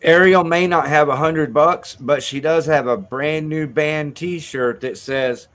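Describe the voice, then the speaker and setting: A man's voice: a little high, fairly even intonation, slow, fairly bright tone. A man hosting a livestream with casual, crude and irreverent banter. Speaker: show host.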